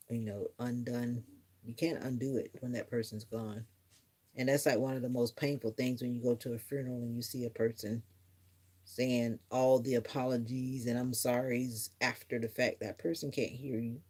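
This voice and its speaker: Husky low-voiced middle-aged woman. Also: warm tone. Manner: reflective, rambling, earnest. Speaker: livestreamer. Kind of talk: casual live-stream chat, answering viewers.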